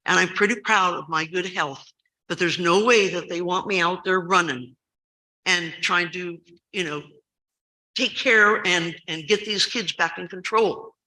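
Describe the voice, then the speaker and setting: A woman in her seventies giving an urgent public comment at a school board meeting. She tells a story emphatically, repeats key words for stress, and has a loud, carrying voice. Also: fairly low pitch, unhurried, low-quality recording. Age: 70s.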